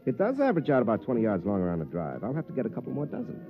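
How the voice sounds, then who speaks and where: An actor playing a proud, intense champion golfer under pressure in a 1950s radio drama, with an emphatic, dramatic delivery. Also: male voice, mid-range voice, huge pitch swings, rapid-fire, over loud music. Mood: easygoing. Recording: tinny, narrow old radio recording.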